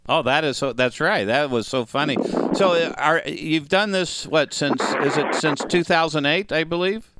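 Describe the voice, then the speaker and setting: A man's voice: a little high, normal speed, noisy background. A man hosting a live radio show, bantering jokily with his co-hosts and a guest, with frequent fillers. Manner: chatty and joking, with frequent filler words. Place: radio studio.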